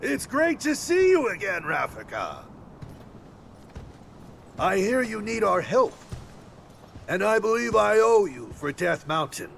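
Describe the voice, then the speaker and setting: Exuberant fantasy game warrior, high and wildly animated, friendly to all. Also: male voice, takes it slowly, creaky, pauses often, slight background noise, heavily acted. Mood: eager, friendly. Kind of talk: video game cutscene acting.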